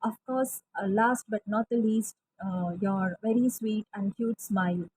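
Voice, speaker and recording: Woman, French teacher, earnest testimonial, mellow tone. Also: mid-range voice, unhurried, a little hiss or hum, poor audio. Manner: earnest, with frequent fillers. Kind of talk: recorded testimonial.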